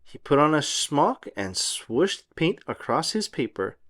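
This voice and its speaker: A storybook reader narrating a picture book for young children in an expressive, sing-song way. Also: medium pitch, unhurried. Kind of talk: animated read-aloud of a children's picture book.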